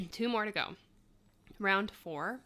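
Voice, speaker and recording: A woman's voice: mid-range voice, takes it slowly, bright, forceful tone. A woman in her thirties co-hosting a podcast, reading quiz clues and chatting, laughing often. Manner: chatty, laughs often, self-mocking asides. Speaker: podcast co-host.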